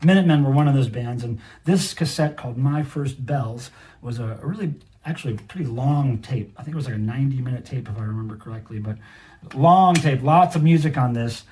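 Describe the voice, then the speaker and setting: A man's voice: mid-range voice, a little fast, a little mellow. Enthusiastic middle-aged man hosting casually. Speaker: video host, cassette collector. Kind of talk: casual show-and-tell commentary.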